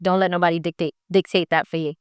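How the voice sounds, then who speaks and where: A young woman from Jamaica answers interview questions in an upbeat, motivational way. Her voice is smooth and clear, recorded dry and close with no room sound. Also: lowish voice, level tone, quick pace, dry recording. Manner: upbeat, motivational, says "right?" often. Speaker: interview guest, entrepreneur. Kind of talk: casual interview.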